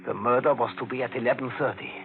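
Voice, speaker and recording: A man playing an anxious, evasive waiter with a halting, formal, brooding manner in a vintage radio thriller. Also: medium pitch, fairly even intonation, very noisy, lightly acted. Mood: grim, haunted. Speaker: actor. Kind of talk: 1940s radio thriller acting. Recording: tinny vintage radio recording, music behind.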